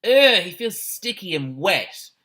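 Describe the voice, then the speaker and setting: A man voicing an excitable, anxious schoolboy in a children's storybook, full of exclamations and questions. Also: high voice, takes it slowly, pitched above the performer's own voice, full character voice. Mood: disgusted.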